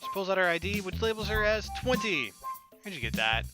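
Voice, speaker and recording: A man's voice: sits high, big pitch swings, fairly quick. Informal male gamer narrating over music. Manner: informal, performs lines as he reads. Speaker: gaming video host. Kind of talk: reading a visual novel aloud, narration and dialogue. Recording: game music playing behind the voice.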